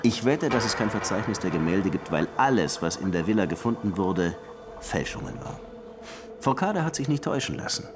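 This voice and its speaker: An actor playing a weary, sardonic police detective in a German radio crime drama, speaking in a dry, probing way. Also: male voice, lowish voice, expressive, a little fast, over music, natural voice. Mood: assured.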